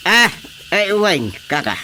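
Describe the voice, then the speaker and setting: A man's voice: high voice, very animated, unhurried, over loud music. A man with an Ilocano accent playing a village chairman who asks questions in a radio drama comedy. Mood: reassuring, firm. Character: male village chairman questioning witnesses about thefts.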